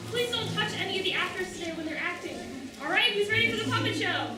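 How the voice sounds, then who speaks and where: Very high-pitched woman announcer, echoey hall. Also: fast, heavy background noise. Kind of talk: pre-show announcement to children. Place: large room at open house puppet show. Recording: very echoey hall, far mic.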